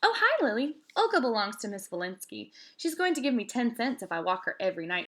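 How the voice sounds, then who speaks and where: A woman voicing a proud, chatty young schoolgirl in a read-aloud storybook, excited and quick to exclaim. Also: a little high.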